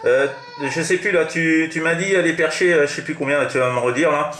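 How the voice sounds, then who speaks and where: A man's voice: on the high side, quick pace, a bit bright. A man with a French accent chatting casually as an amateur radio operator, in a hesitant, rambling, repetitive way. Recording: roomy, echoing room sound.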